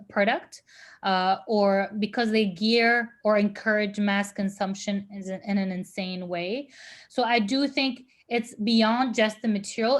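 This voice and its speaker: A woman from Lebanon, a guest speaker answering questions on a video call, thoughtful and searching for her words. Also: medium pitch. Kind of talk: virtual panel Q&A answer.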